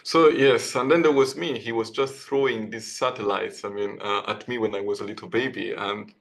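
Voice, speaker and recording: A man's voice: lowish voice, steady tone, normal speed, vocal fry. A man from Italy, answering as a guest author on an online call in a hesitant, discursive conversational way.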